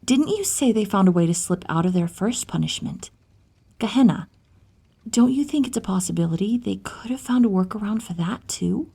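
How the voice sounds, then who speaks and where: A woman acting an inquisitive, determined divine healer and wife in an ASMR audio roleplay, soft and thoughtful, at times whispery. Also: medium pitch, animated, moderate pace, vocal fry, slightly rough. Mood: curious, thoughtful.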